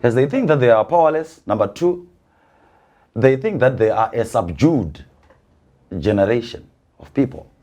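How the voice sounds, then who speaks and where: A man from rural Zimbabwe with a Zimbabwean accent, an author explaining his views in an interview with deliberate, emphatic repetition. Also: mid-range voice, very animated, dark tone.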